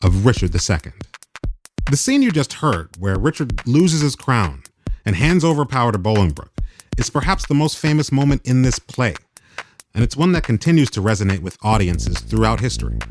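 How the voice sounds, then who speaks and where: A man's voice: mid-range voice, sing-song, moderate pace, soft background music. A man hosting a radio program, reading scripted narration about a play. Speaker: radio host.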